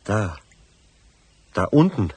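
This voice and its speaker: A rough, troubled rural husband in a radio play. The voice sits low and has a husky grain. He speaks tersely and plainly. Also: sing-song, takes it slowly, a little mellow, heavily acted. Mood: alarmed. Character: gruff rural husband, troubled caretaker of a lakeside property. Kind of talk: radio drama acting.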